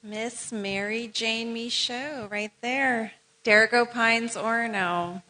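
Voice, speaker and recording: Bright-voiced woman reading tributes. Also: fairly high pitch, steady tone. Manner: measured, ceremonial delivery with brief asides to honorees. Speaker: event presenter. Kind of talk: formal reading of biographies.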